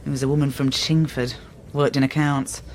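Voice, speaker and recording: An actress playing a chatty, bitter woman passenger in a radio play, plain-spoken and deadpan. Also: rumbling low, moderate pace.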